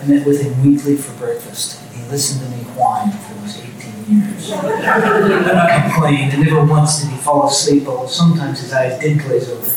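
Middle-aged man, low voice, halting and soft-spoken personal share, dry self-deprecating asides; distant handheld mic in a very echoey meeting room. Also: very animated, a crowd in the background. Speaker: speaker at a recovery meeting. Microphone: handheld microphone.

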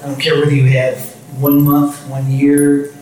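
A middle-aged man with a low voice giving a halting, soft-spoken personal share to a meeting, with self-deprecating humor, speaking into a handheld microphone in a meeting room. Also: completely flat delivery, a little hiss or hum. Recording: echoey room, distant mic, faint music.

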